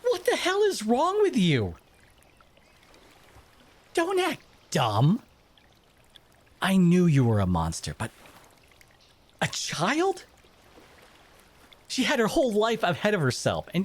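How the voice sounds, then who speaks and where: Bitter merfolk exile played by a man, stern and accusing interrogator, very expressive pitch, slightly husky, audio drama. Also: slow, long pauses, exaggerated voice. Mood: furious, appalled.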